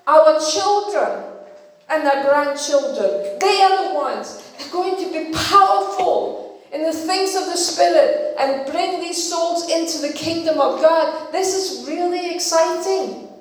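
An older woman from Denmark whose first language is Danish, preaching a sermon slowly and emphatically and repeating her key phrases. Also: very high voice. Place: church hall. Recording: echoey hall, from far off.

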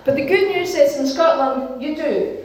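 High-pitched woman from denmark giving an earnest sermon, short punchy phrases, faint noise behind. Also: normal speed, a little mellow. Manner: earnest, encouraging, speaks in short emphatic phrases. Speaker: pastor. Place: church hall. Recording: very echoey church hall.

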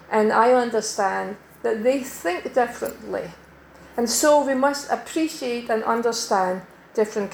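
A middle-aged woman from Denmark preaching a sermon in a church, measured and emphatic, slowing to stress key words. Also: on the high side. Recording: echoey church hall.

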